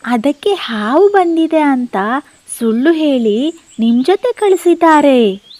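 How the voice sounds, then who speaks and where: A woman's voice: high-pitched, animated, slow pace, mellow, dry recording, voice pushed up. A woman voicing a little male bird chick in a children's cartoon story, speaking in an earnest, childlike way. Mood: worried, telling. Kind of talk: animated children's bedtime story.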